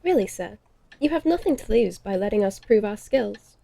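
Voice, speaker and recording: A woman's voice: mid-range voice, lively intonation, normal speed, creaky, mellow. A woman playing a haughty, sardonic vampire lady of the Old West in a horror audio drama, with prim, dry, formal diction. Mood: polite, assured.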